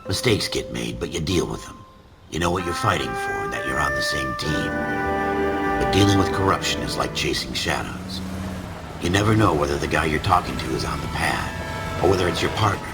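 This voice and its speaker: A man playing a world-weary narrator of a 1940s noir city, speaking in measured, solemn, dramatic scripted narration. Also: rumbling low, animated. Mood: grim, cynical. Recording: loud music behind the voice. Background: loud music.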